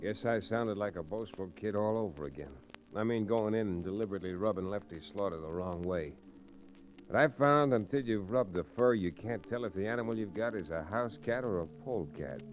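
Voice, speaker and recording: An actor playing a folksy, firm frontier lawyer hero in an old-time radio western. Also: male voice, on the low side, brisk, close to an everyday voice, rough recording. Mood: wry narrating. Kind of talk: old-time radio western acting, first-person narration and dialogue. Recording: vintage radio drama recording.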